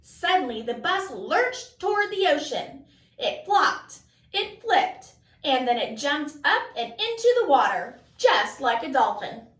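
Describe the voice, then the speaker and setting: A woman reading a children's story aloud as an expressive narrator. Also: high-pitched, a little slow. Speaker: storybook reader. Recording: echoey room, distant mic.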